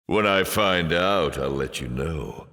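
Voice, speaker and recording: A man voicing a suave, taunting fallen angel demon in a video game, with a deep gravelly voice and a sly, sardonic drawl. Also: slow pace, sharp tone, heavily acted. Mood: playful, teasing. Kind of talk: video game character voice lines. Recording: long cavernous reverb.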